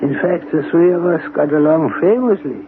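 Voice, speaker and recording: An actor playing an arrogant, eccentric older scientist in 1970s radio drama, pompous and deliberate in his delivery. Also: a little high, animated. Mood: smug, cheerful. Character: eccentric, arrogant older genius scientist, smugly self-assured. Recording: noisy, narrow-band 1970s radio recording.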